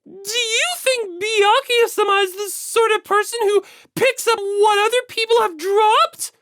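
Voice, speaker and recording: An audiobook reader voicing a frantic, infatuated high school girl with a shrill, fawning delivery. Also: fairly even intonation, unhurried, fairly bright tone, no echo. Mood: indignant shrieking. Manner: frantic, stammering.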